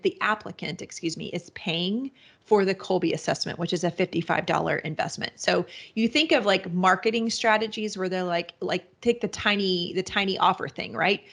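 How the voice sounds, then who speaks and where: A woman's voice: fairly low pitch, creaky, a bit bright. A woman guest on a podcast, explaining her business in a rambling, casual way with many restarts and fillers. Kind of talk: casual interview answers.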